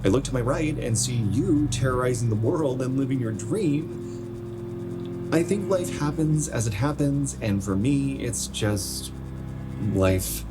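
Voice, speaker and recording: An American man playing a weary, bluntly honest friend in his twenties in a naturalistic radio play, with measured delivery. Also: medium pitch, over loud music, natural voice. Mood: resigned, guarded. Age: young adult. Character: resigned 27-year-old male hometown friend, weary and bluntly honest.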